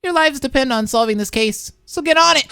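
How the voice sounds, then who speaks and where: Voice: high voice, brisk, gravelly, sharp tone, smooth, no echo, clean studio sound, pitched above the performer's own voice, heavily acted. A person voicing a sinister, mockingly polite announcer of a killing game, speaking formally and theatrically. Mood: mock solemn.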